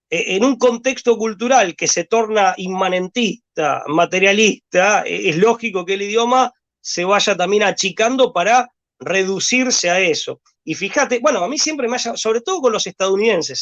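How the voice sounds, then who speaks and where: High-voiced Argentine man musing. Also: lively intonation, normal speed, a bit bright, lo-fi sound. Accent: Rosario Argentine Spanish. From Rosario, Argentina. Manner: rambling, hesitant with repeated words and fillers. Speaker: guest. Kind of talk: discursive conversation.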